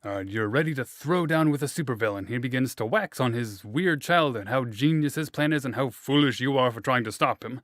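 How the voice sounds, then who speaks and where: A man's voice: medium pitch, brisk, few pauses, fairly bright tone, dry recording, clean studio sound. Easygoing male interview host. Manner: easygoing, joking, filler-heavy. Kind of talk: casual interview questions.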